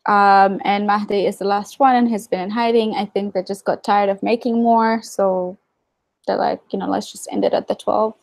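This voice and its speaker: A woman's voice: mid-range voice, fairly even intonation, normal speed, gravelly, dry recording. A young woman speaking as a guest in a casual video-call interview from her living room, candid and wry. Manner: candid, wry, many fillers and asides. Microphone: video call webcam.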